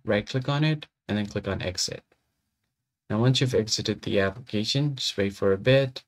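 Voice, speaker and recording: Low-voiced man giving a tutorial. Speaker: tutorial host. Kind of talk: casual step-by-step software tutorial voice-over.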